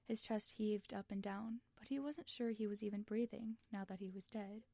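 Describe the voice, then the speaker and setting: A woman's voice: medium pitch, fairly even intonation, moderate pace, creaky, mellow, no room sound, clean studio sound. A woman narrating fan fiction expressively from a script, as in an audiobook.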